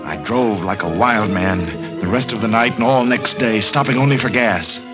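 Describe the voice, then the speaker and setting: Actor as deep-voiced guilty killer confessing, old radio horror. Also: male voice, normal speed, close to an everyday voice. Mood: desperate. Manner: terse, confessional, edgy. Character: nervous, guilty murderer narrating his crime. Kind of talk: 1940s radio horror acting. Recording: dull vintage radio recording with loud music.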